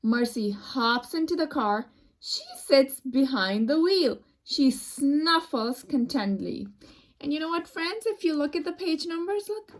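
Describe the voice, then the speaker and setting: A woman teaching young children through an expressive read-aloud, addressing them as friends and pausing to explain words and pictures. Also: high-pitched, rough recording.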